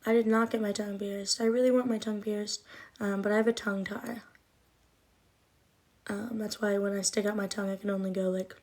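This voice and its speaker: A woman's voice: mid-range voice, little pitch movement, brisk, darkish tone. Chatty young woman with a slight lisp. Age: 20s. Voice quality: slight lisp and trouble with R sounds. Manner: rambling and chatty, many likes and ums. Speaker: livestreamer. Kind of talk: casual livestream chat answering comments.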